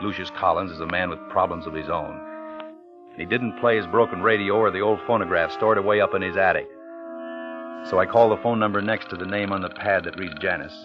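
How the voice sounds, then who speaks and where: An American actor in middle age playing an anxious, coolly polite killer, narrating in clipped, intense phrases in old radio drama style. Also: male voice, sits low, fairly quick, natural voice. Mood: brooding. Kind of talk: 1940s-50s radio suspense acting, narrating. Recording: muffled old radio recording, organ music behind.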